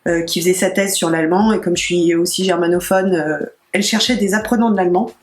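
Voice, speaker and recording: A woman's voice: fairly low pitch, fairly even intonation, fast talker, roomy sound. A young woman chatting casually in an interview about her channel, with plenty of fillers and restarts. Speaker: interviewed YouTube channel creator.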